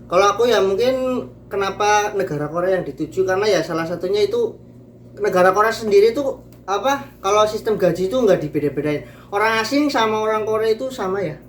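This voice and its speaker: A young man, reading out viewer questions slowly and chatting in an upbeat way. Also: high-pitched, a little hiss or hum. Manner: upbeat, slow and careful when reading questions. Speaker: question reader.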